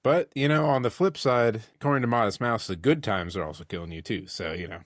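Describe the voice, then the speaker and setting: A man's voice: mid-range voice, brisk, no echo, clean studio sound. A young man co-hosting a podcast, cracking puns and goofing around in casual banter. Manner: goofy, punning. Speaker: podcast co-host.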